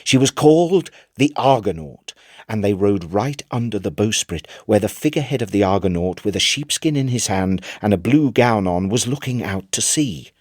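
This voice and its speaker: A man narrating a literary tale in an expressive, theatrical storytelling manner. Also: on the low side, moderate pace, dry recording, clean studio sound. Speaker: narrator, audiobook reader. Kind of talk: dramatic scripted literary narration.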